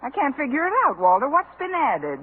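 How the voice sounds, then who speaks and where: An actress playing a wry, wisecracking schoolteacher with dry, quick-witted delivery, in 1950s radio comedy style. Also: female voice, high-pitched, very animated. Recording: noisy tinny old radio recording, studio audience.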